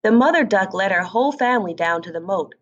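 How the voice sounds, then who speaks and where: Female storyteller for children's fairy tale, highly animated delivery, slightly muffled recording. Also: fast. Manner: expressive. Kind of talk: scripted children's story narration.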